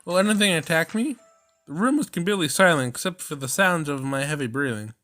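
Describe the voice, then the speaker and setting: A man with a fairly high voice streams a story game. He reads the narration aloud and reacts in exclamatory, slangy asides. Music plays clearly behind his voice. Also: animated, a little fast, sharp tone. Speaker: let's play streamer. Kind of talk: visual novel let's play with read-aloud narration and reactions. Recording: prominent background music.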